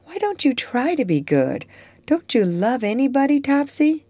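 A woman voicing a gentle, frail little girl in a storybook, earnest, loving and sad, pleading tenderly. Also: high voice, very animated, normal speed, no room sound, voice pushed up.

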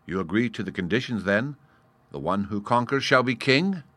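A man's voice: lowish voice, lively intonation, normal speed, pushed and bright, dry recording. A man playing a cocky, boastful storybook gnat. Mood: taunting. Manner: taunting, self-important. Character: tiny cocky boastful male gnat, defiant and disdainful. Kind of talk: children's storybook character voice.

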